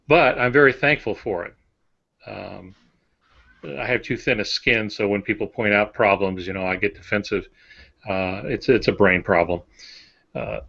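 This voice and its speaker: A man's voice: fairly low pitch, fairly bright tone. An older man, an author, chatting casually on a podcast in a plain-spoken, self-deprecating way. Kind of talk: casual anecdotal chat. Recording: dull, muffled lo-fi audio.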